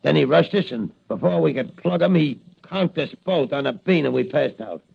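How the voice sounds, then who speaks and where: A gruff jumpy henchman, rough raspy voice, bad grammar tough talk, muffled old radio show. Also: fairly high pitch, lively intonation, moderate pace, full character voice. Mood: earnest. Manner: slangy, ungrammatical tough-guy talk. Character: jittery uneducated gangster henchman, gruff and excitable. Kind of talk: old-time radio crime drama acting. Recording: dull vintage radio recording.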